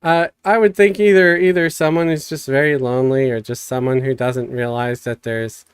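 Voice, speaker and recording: A man hosting a live stream, chatting casually in a soft, calm way. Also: medium pitch, normal speed, smooth, no room sound. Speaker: streamer host. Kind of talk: casual chat.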